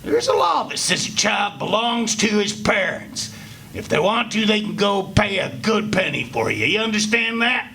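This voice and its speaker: A man's voice: sits high, sing-song, gravelly, pushed and bright, faint music behind, full character voice. A man acting a mean, drunken, greedy father in a live radio play, taunting and domineering. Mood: angry, demanding. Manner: taunting, domineering, drawn-out sneering. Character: mean, drunken, greedy backwoods father bullying his son. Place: live performance before an audience. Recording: noisy live stage recording.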